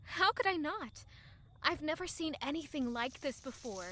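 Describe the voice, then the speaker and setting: A woman's voice: high voice, lively intonation, moderate pace, sharp tone, slight background noise. A woman playing a romantic, curious young woman in an audio drama, narrating and speaking with a playful sense of wonder. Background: faint music.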